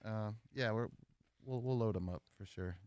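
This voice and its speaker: A man's voice: lowish voice, moderate pace, a little mellow, dry recording. A man guest on a radio show talking about a festival he helps run, easygoing and prone to repeating words as he starts. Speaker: festival promoter and sound engineer guest. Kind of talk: casual interview chat.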